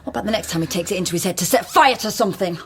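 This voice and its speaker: An actress with a British accent playing a guarded, sharp-tongued woman in a naturalistic radio drama, dry and sarcastic. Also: fairly low pitch, very fast, slight background noise, lightly acted. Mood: bitter sarcasm.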